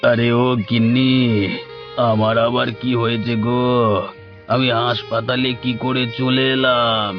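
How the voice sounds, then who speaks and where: A man's voice: medium pitch, flat delivery, measured pace, lightly acted. A man voicing a sheepish, greedy village uncle who is a petty thief in a cartoon. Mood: dazed. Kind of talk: cartoon voice acting. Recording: dull lo-fi audio with music.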